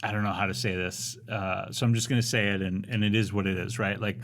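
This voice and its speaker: Casual man in his forties, low voice. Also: flat delivery, quick pace, a bit bright, a little hiss or hum. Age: 40s. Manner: candid, rambling with frequent restarts, wry humor. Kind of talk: casual chat.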